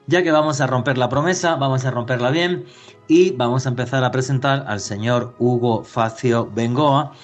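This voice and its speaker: A man with a lowish voice, hosting a radio podcast. He talks in an earnest, opinionated, expansive way, with faint music playing behind him. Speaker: radio host. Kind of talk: podcast interview introduction.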